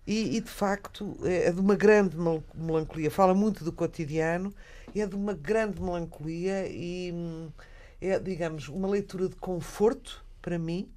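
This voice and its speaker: A Portuguese woman in her sixties with a European Portuguese accent, chatting about books in an animated, halting way. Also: on the low side. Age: 60s. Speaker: radio book show co-host. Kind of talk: casual literary chat.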